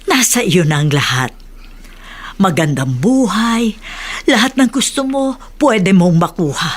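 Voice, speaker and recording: Radio drama confidante: older woman, middle register, measured, admonishing, sage. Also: mid-range voice, animated. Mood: gently persuasive. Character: older female confidante, wise, admonishing, steady. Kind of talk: Tagalog radio drama acting.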